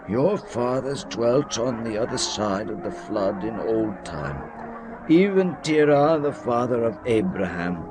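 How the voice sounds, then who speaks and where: Joshua, solemn commanding leader. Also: male voice, mid-range voice, background noise. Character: Joshua, aged leader addressing the tribes. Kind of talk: dramatized scripture reading. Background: music.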